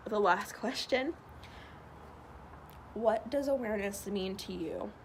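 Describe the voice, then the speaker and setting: A woman's voice: medium pitch, slow. A young woman with a stuffy nose, answering questions in a casual vlog outdoors. She talks in an earnest, chatty way with many ums. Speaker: vlogger. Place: outdoors by a loud road. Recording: noisy outdoor recording. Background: passing traffic.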